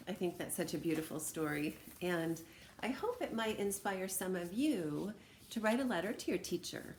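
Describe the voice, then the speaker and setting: Low-pitched American woman, noisy recording. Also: expressive, normal speed. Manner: encouraging, conversational. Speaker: teacher. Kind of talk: informal read-aloud and craft demonstration for students.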